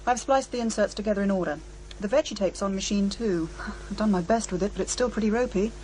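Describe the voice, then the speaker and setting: A woman acting in a radio drama as a brisk, practical studio technician. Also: medium pitch, darkish tone, a little hiss or hum, natural voice, rough recording. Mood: businesslike.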